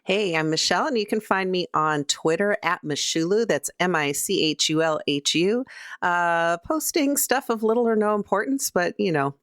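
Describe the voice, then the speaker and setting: A woman's voice: on the low side, moderate pace, a bit bright, dry recording, studio quality. A woman speaking casually as a player on a tabletop game podcast.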